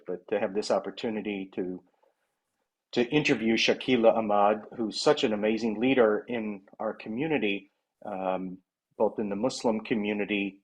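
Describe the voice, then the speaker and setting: A man with a low, husky voice hosts a virtual session and interviews a guest. He speaks haltingly, with frequent ums and restarts, over a video call microphone. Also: low-quality recording. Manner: halting, many fillers and restarts. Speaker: interviewer and project founder.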